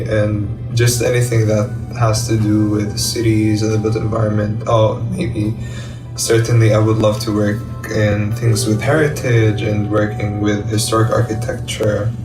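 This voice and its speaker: A young male student speaks in a slightly low voice, giving an informal testimonial. He sounds roomy and distant from the microphone. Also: little pitch movement, a little slow. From Jordan. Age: early 20s. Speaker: university student. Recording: roomy, distant mic, background music.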